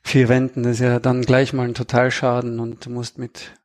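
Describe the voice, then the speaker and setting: A man chatting casually as an author on a panel, halting and restarting his sentences with wry humor. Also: medium pitch, completely flat delivery. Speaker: thriller author and podcast guest.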